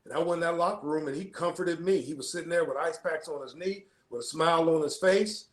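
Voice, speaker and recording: A man's voice: a little high, fast talker, fairly bright tone, echoey room. A man, a guest coach, giving an earnest personal testimony and repeating key phrases for emphasis.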